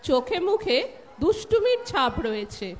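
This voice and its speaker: A woman's voice: high-pitched, sing-song, normal speed. A woman reading scripted narration for an audio drama. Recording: live hall, audience murmur, faint noise.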